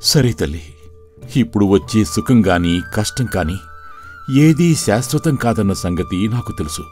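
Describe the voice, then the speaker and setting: వినయంగా వేడుకునే పూజారి పాత్రలో పురుషుడు, ఎగుడుదిగుడు స్వరం. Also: male voice, on the low side, lively intonation, measured pace, darkish tone. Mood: respectful pleading. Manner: pleading, reasoning, respectful. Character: humble, contented, devout poor temple priest. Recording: music under the voice.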